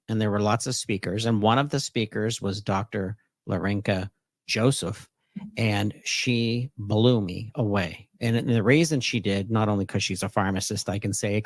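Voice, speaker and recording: A man's voice: lowish voice, fairly bright tone, dry recording. A man hosting an interview podcast, talking in an emphatic, enthusiastic promotional way. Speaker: podcast host.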